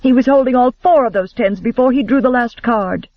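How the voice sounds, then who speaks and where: A man's voice: very high voice, animated, no echo, lo-fi sound, voice pushed up. A man voicing an earnest young woman pleading urgently in an audiobook. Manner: pleading, hurried.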